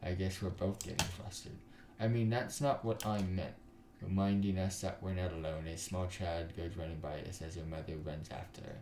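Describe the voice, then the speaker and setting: Low-voiced man reading aloud, roomy echo. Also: fairly even intonation, normal speed, background noise. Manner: dramatic reading, switches into character voices. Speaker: gaming YouTuber. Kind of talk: visual novel read-aloud with commentary. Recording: roomy echo over guitar music. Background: guitar music.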